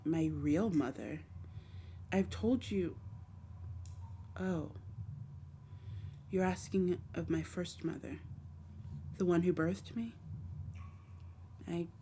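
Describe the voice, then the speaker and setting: A mellow, low-pitched female dark fae played by a woman speaks softly and close, in a fantasy ASMR roleplay. She is gentle and lonely, with tender, hesitant, apologetic phrasing. There is faint noise behind her. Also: takes it slowly, long pauses. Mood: wistful, sorrowful.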